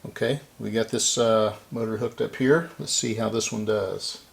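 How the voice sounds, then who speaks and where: A man's voice: on the low side, normal speed, vocal fry. A man giving a casual hands-on repair narration, explaining and thinking aloud as he works. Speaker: repair video host.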